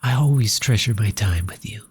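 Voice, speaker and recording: A man playing a tender, grieving orphan in a soft ASMR audio roleplay, talking slowly and haltingly to a pet cat in a breathy voice that often drops into a whisper. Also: fairly low pitch. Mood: soft, worried affection. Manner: slow, halting, intimate.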